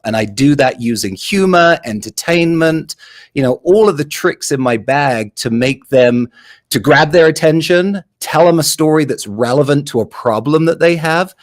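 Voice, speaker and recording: A man in his forties with a London accent answers interview questions about making videos. He speaks in an animated, enthusiastic way, and his voice sits in an ordinary middle pitch. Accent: English, London. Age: 40s. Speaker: guest, video producer.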